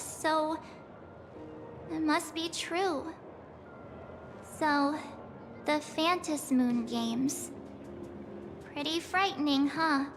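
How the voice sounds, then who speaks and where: High-pitched, perky teenage girl adventurer. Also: background noise, exaggerated voice. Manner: informal, expressive, hesitant pauses. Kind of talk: scripted video game dialogue, anime style.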